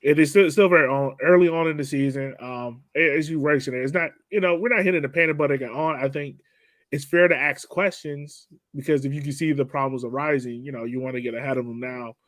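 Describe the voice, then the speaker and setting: A man's voice: mid-range voice, fairly even intonation, fairly quick, no echo. A man co-hosting a sports podcast, talking casually and conversationally as in a post-game analysis. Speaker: podcast co-host.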